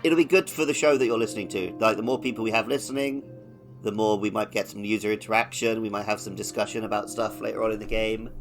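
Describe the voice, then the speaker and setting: A man's voice: lowish voice, steady tone, fast talker, faint music behind. A man co-hosting a podcast, talking casually about video games in an easygoing, conversational way. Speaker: podcast co-host. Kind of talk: casual video game discussion.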